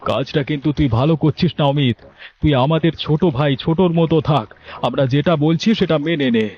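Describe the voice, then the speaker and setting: A man's voice: fairly high pitch, brisk, natural voice. A man voicing a scheming, stern elder brother from a farming village in a cartoon, with an argumentative, reproving manner. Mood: stern, threatening. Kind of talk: Bengali cartoon story dubbing. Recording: dull lo-fi audio, music bed.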